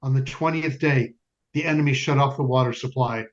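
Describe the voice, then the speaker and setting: A man's voice: medium pitch, steady tone, fairly quick, muffled recording, close to an everyday voice. A man playing a grim resistance fighter, narrating a battle in a solemn, declamatory stage style. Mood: grim, defiant.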